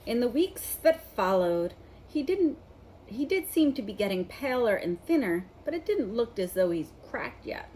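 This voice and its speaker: A woman reads a storybook aloud as narrator. Her voice is fairly high and very expressive in pitch. There is clear background noise behind her. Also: unhurried, rough recording.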